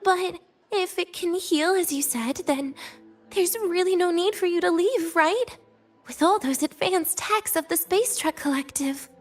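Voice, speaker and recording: An actress playing a frail, guarded young student in a video game, speaking in a soft, halting, reserved way. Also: female voice, very high voice, faint music behind. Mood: worried, pleading. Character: frail, guarded girl student, quietly attached to her friend.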